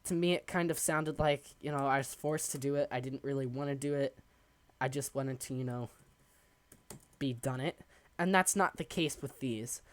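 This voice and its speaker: A young man streaming a building game from his desk at home, chatting in a rambling, halting way, his voice raspy and hoarse from coughing. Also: mid-range voice. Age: young adult. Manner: rambling, halting, many ums. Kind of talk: casual live-stream chat while building.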